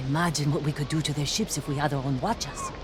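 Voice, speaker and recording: An actor playing a pragmatic, loyal fighter in a video game, talking in plain, clipped, practical phrases. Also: male voice, a little high, fast talker, over music, close to an everyday voice. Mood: watchful, earnest. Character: pragmatic male fighter, loyal companion scouting enemies. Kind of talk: video game cutscene acting.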